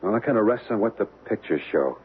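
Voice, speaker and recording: An actor playing a wary, easygoing young American husband in a radio drama, speaking in slangy, hesitant phrases. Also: male voice, fairly low pitch, animated, fairly quick, slightly rough. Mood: cautious, resisting. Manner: slangy, hesitant, repeating words. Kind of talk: old-time radio drama acting. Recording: tinny, band-limited vintage radio, hissy.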